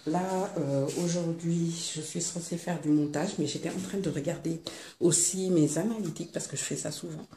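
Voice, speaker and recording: A woman's voice: low voice, warm tone, roomy sound. Woman, Senegalese French accent, stuffed-up cold voice, chatty and hesitant, faint room noise. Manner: chatty, hesitant with many pauses. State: congested with a cold. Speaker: vlogger. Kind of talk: casual vlog talk to viewers.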